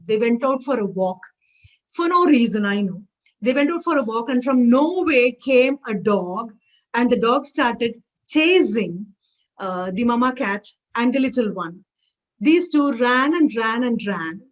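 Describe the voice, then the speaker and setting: An Indian English woman with Tamil as her first language, lecturing with a lively storyteller's touch. Her voice sits a little high, and she leans on repetition to drive each point home. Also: rough recording. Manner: emphatic, repeats phrases, animated storytelling. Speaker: guest lecturer.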